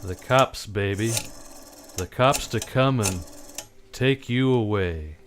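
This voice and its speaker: A man acting as a hard-boiled radio-play detective, very slow and terse. He speaks with cool, deliberate menace, with faint noise on the recording. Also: fairly low pitch. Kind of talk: old-time radio drama acting.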